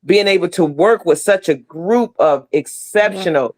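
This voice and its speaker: A woman's voice: fairly low pitch, unhurried, a little mellow. A middle-aged woman speaking casually and effusively as an interview guest on a video call, stressing her points. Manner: emphatic, effusive. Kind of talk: casual heartfelt interview talk.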